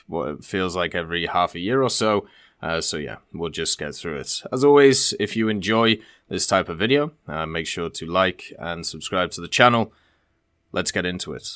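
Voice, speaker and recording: A man with a fairly low voice talks through gaming news in a casual, rambling way. He sounds like a channel host sharing his opinions, recorded dry and close with hardly any room sound. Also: fairly bright tone, smooth, dry recording. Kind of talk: casual video commentary, reading and discussing developer Q&A.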